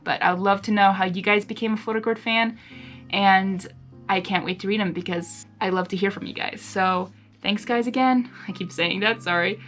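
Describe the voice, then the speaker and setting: A woman's voice: mid-range voice, fairly bright tone. Female fan-channel host, mid-range voice, informal and gushing, over faint music. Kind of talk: casual reaction chat between readings.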